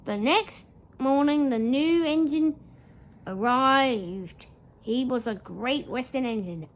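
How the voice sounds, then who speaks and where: A woman with a high voice reads a children's story aloud at a slow pace. She reads haltingly, sometimes tripping on a word and starting it again. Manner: halting, stumbles and repeats words while reading.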